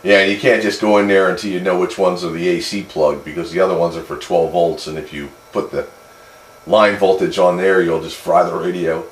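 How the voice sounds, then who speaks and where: A man's voice: deep voice, little pitch movement, fairly quick. A man chatting casually and technically as a CB radio operator. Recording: echoey room, clear background noise.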